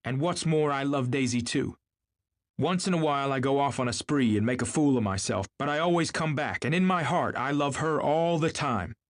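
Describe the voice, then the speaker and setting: A man's voice: mid-range voice, animated, fairly quick, pitched above the performer's own voice, lightly acted. A man voicing an arrogant, indignant wealthy husband in an audiobook, pompous and snapping. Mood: insistent, earnest.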